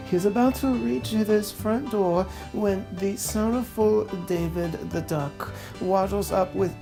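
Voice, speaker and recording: A woman narrating a dramatic reading as host, with a snobby, pompous, droning delivery and mock-solemn scripted phrasing. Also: fairly low pitch.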